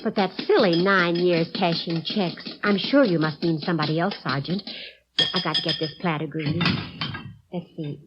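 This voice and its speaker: An actress playing a fussy, genteel older housewife in a 1950s radio drama, politely defiant and easily distracted. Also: female voice, on the low side, normal speed, noisy background, natural voice. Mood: distracted, evasive. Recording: dull vintage radio recording.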